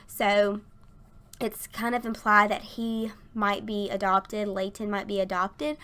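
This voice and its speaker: A young woman chatting casually through a game voice-over, her voice a little raspy from a sinus infection. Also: medium pitch, flat delivery, slow pace, bright, forceful tone. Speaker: gaming YouTuber.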